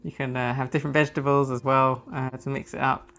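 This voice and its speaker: A man's voice: mid-range voice, flat delivery, fast talker, a bit bright. A man from the London area with a southern English accent, chatting casually and explaining things in an informal, hesitant way. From London area, England. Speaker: guest, native English speaker. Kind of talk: casual chat. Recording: music behind the voice. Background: guitar music.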